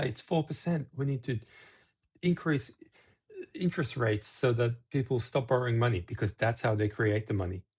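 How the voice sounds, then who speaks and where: A man's voice: mid-range voice, creaky, darkish tone, breathy edge. A middle-aged man from Serbia hosting a casual talk-to-camera video, rambling with restarts and asides. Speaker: video host.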